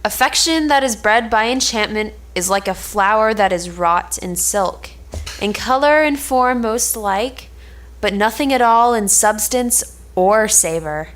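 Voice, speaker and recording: A woman's voice: mid-range voice, lively intonation, a little slow, fairly bright tone. A woman playing a sensible young confidante, disapproving and pitying, in a reading of an Elizabethan stage drama. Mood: cautioning.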